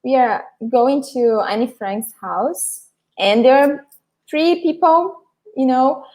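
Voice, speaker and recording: A woman from Brazil, speaking English with a Brazilian Portuguese accent, hosts a casual live lesson. Her voice sits fairly high, and she turns to the viewers often, stopping to restart her sentences. The sound comes through a video call. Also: slow, a little mellow, poor audio. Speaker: host and Portuguese teacher. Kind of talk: casual live class chat.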